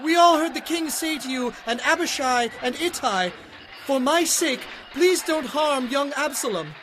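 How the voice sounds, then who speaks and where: An actor playing an eager, plain-spoken soldier in a dramatized scripture audiobook. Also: male voice, extremely high pitch, lively intonation, measured pace, background noise. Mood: indignant, earnest.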